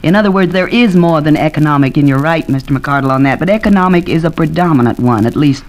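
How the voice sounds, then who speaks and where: A woman's voice: low-pitched, fast, a little mellow. An American woman speaking as an academic expert in a 1940s radio panel discussion, explaining points with examples.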